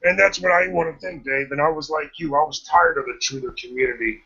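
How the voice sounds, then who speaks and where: A laid-back man swears casually in an online chat. His voice is gravelly and husky yet bright. The call sound is dull and lo-fi. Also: fairly high pitch, fast.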